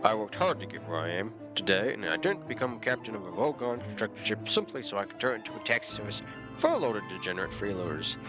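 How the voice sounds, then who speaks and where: A man's voice: fairly low pitch, very animated, fast, over music. A man voicing a pompous, hostile male alien ship captain who speaks with contempt over the ship's speakers. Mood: contemptuous.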